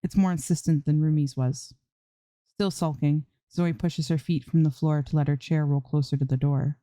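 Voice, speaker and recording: A woman's voice: deep voice, steady tone, moderate pace, warm tone, dry recording. A woman reading fan fiction aloud as an amateur narrator, in scripted storytelling style.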